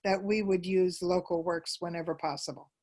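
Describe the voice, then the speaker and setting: A woman's voice: fairly low pitch, fairly even intonation, normal speed, a little mellow. A woman giving conversational answers as an interview guest about her work in a theater troupe.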